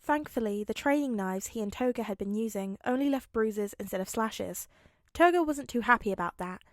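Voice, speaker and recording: A woman with a high voice hosts a fiction reading channel. She moves between expressive storytelling and informal chat with listeners. The sound is close and very dry. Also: moderate pace, no room sound. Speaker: podfic reader and channel host.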